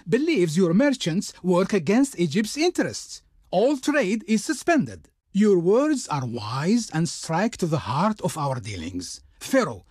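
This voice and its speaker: Pompous high-pitched Egyptian envoy. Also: male voice, a little mellow, slightly rough, dry recording, studio quality, full character voice. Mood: gracious, approving. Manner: pompous, ceremonious, declamatory, reverent pauses. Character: pompous, fawning Egyptian envoy speaking for Pharaoh. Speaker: video game diplomat character. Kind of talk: theatrical video game voice acting.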